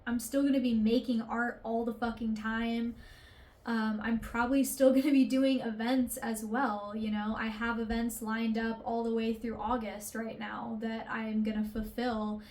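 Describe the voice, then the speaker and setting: Woman, 20s, confessional vlogger; unhurried, rambling, emotional delivery, slightly high. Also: little pitch movement, darkish tone. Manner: rambling, emotional, swears casually, many fillers. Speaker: artist vlogger. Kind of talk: candid confessional vlog.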